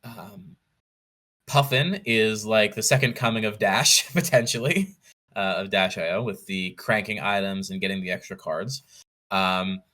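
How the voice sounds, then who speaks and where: A man talking casually as an interview guest, in a measured, analytical way, thinking aloud as he goes. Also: fairly low pitch, pushed and bright. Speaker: podcast guest, competitive card game player.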